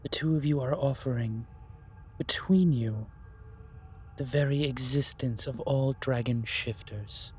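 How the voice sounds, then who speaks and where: A man playing a calm, wise, otherworldly keeper of magic in a fantasy audio roleplay, speaking formally and ceremoniously. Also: medium pitch, a little slow, soft background music, natural voice. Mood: solemn, ceremonious.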